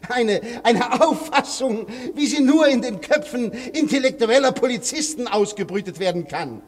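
Flustered high-voiced anarchist orator in a hall. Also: male voice, expressive. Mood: earnest oratory. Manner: declamatory, halting when cornered. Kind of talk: German radio play acting. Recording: echoey hall with long reverb.

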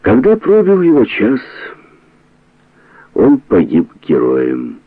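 Deep gravelly male audiobook narrator. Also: huge pitch swings, takes it slowly, no room sound, narrow, tinny audio. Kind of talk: scripted dramatic narration of a novel.

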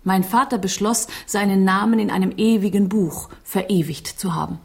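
A woman plays a proud gentlewoman hostess in a period radio drama. She speaks at a middle pitch, formally and with composure. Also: steady tone, moderate pace, natural voice. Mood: composed, proud. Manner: formal, poised.

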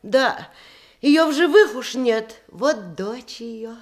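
An actress playing a genteel, scheming widowed aunt in a Russian radio comedy in verse, with measured theatrical delivery. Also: female voice, fairly high pitch, animated. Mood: confiding, approving.

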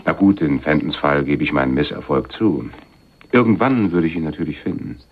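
A shrewd, moneyed man of power with an extremely low voice. He speaks with suave, patronizing calm in a crime radio play, and the recording is slightly muffled. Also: a little fast, slight background noise. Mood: hard bargaining. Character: shrewd, wealthy, condescending male power broker in a crime play.

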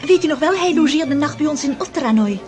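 A woman's voice: sits high, lively intonation, quick pace, music in the background. An actress in a Dutch radio drama playing an eager, romantic young girl at her first grand ball, dreamy and yearning. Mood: excited, curious.

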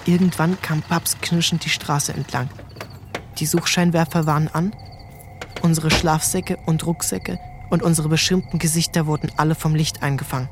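A man narrating a literary story in a calm, measured way. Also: fairly high pitch, background noise. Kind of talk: scripted narration.

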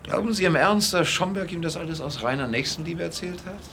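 An actor in a German radio play, playing a proud gentleman with an eloquent, sardonic and intense manner. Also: on the high side, brisk, a little hiss or hum. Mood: scornful, sardonic. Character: proud, scornful, unarmed gentleman facing down bandits.

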